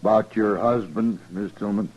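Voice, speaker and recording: Folksy american cowboy rancher, lowish voice, halting and evasive, old radio western. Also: male voice, fairly even intonation, darkish tone, slightly rough, poor audio. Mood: sheepish, explaining. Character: evasive, callous male rancher confessing to a lynching. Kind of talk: 1950s radio western acting.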